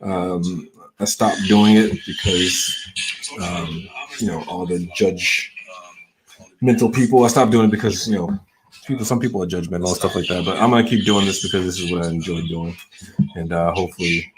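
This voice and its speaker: Deep-voiced man rambling with many fillers. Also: steady tone, moderate pace, background noise. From Southern United States. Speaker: channel host. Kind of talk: casual personal monologue.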